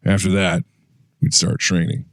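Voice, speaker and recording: An actor playing a veteran male super-soldier who calmly recounts his past in an audio drama. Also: unhurried, slight background noise. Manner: steady recounting, low-key storytelling.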